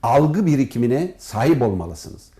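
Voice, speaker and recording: Mellow-voiced older man, expressively pitched. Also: very animated, a little slow. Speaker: professor, head of an animation department. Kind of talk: interview answers in formal, partly prepared wording.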